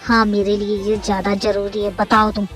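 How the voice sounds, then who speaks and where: A woman's voice: mid-range voice, level tone, moderate pace, faint music behind, voice pushed down, close to an everyday voice. Woman doing a cold, furious young husband. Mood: stern. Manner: harsh, cold and commanding. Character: tormented young wife, male role, man.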